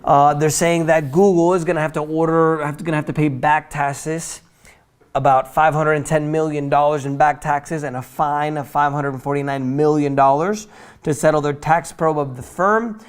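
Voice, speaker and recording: Clear-voiced man running through finance news. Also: fairly high pitch, fairly even intonation, moderate pace. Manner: chatty, informal, stumbles over words and corrects himself. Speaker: host of a financial news roundup. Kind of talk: news rundown read from notes with casual asides.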